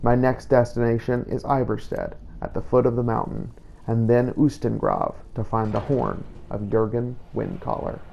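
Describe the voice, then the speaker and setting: A man narrating a scripted first-person journal of a game playthrough in a measured, formal storytelling manner. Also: mid-range voice, flat delivery, creaky, warm tone, dull audio, a little hiss or hum. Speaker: host and player of a game playthrough.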